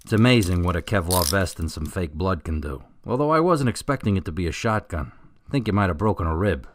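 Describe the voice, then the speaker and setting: An actor playing a gruff, weary middle-aged veteran policeman in a radio drama. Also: low-pitched, expressive, fairly quick. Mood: dry.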